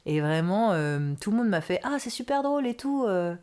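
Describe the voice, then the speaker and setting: A woman's voice: medium pitch, normal speed. A woman in her twenties with a Parisian accent, a singer and streamer chatting casually as a guest and acting out what others said to her. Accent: Parisian French. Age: 20s. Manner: animated, many fillers, acts out quoted lines. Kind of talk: casual conversational interview.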